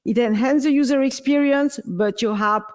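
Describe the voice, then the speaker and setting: A woman's voice: high voice, moderate pace, a little mellow. Woman, French accent, upbeat conference speaker, explanatory, stage mic, big hall. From France. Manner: upbeat, explanatory, playful comparisons. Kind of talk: tech conference talk.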